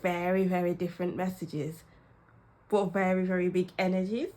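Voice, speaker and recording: A woman's voice: lowish voice, flat delivery, moderate pace, warm tone. A young woman with a cold, chatting casually and laughing often as she gives a tarot reading. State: has a cold, sniffly. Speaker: tarot reader.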